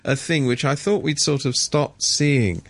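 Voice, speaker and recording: A man's voice: mid-range voice, unhurried. A man talking as a regular guest on a radio show, indignant and sardonic, often restarting his sentences. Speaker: regular commentator. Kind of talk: radio studio discussion.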